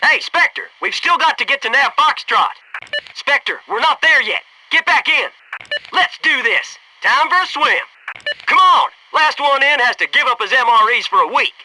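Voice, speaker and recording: A man's voice: very high voice, animated, normal speed, distant mic, noisy background. A man voicing a cocky, wisecracking Navy SEAL squadmate in a military shooter video game, brash and sarcastic. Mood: nagging. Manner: brash, jokey, sarcastic banter and crisp tactical calls.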